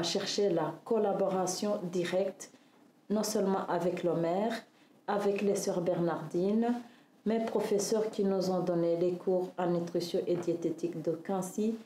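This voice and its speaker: Woman, from Rwanda, fifties, nutritionist, slow measured delivery, roomy echo. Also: medium pitch, warm tone, slight background noise. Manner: slow, measured, with pauses and hesitations. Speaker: nutritionist interviewee. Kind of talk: interview testimony.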